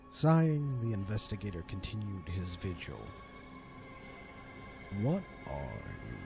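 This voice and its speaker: Deep, dramatic male horror narrator. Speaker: storyteller, narrator. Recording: music under the voice. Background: background music.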